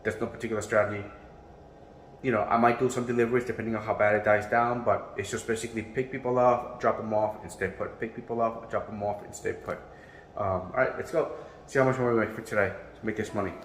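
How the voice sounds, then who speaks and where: A man's voice: fairly low pitch, fairly even intonation, fast talker, slight background noise, poor audio. Tired man vlogging from his car. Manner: upbeat, repeats catchphrases, loose rambling talk. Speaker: rideshare driver. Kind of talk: casual vlog narration while driving.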